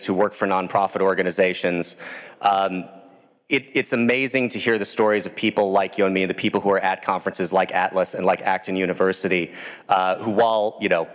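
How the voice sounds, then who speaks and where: A man from the American Midwest introducing a guest speaker at a conference, earnest and filling pauses with "uh". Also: deep voice. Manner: earnest, with frequent "uh" fillers. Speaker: event host introducing a speaker. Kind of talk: introduction speech. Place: large conference room. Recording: echoey lecture hall.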